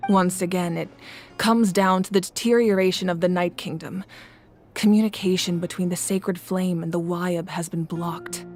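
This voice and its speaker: A woman playing a composed, grave ruler in a video game, speaking in measured, deliberate lines. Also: lowish voice, fairly even intonation, a little mellow, close to an everyday voice. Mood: calm, serious. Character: solemn, composed woman war-god ruler of a nation. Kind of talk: video game voice acting, dramatic exposition. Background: game music.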